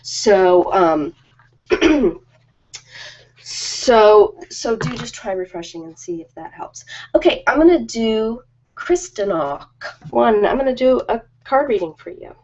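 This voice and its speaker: A woman's voice: on the high side, expressive, slow pace, darkish tone. A woman chatting casually and informally on an ASMR live stream, rambling from one thought to the next. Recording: dull lo-fi live-stream audio.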